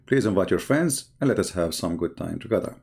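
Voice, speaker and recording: Male live-stream host: deep, gravelly, voice worn out, slow and halting delivery. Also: lively intonation, a little mellow. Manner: halting, reads viewer messages aloud slowly. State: tired, strained voice. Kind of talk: casual live-stream talk.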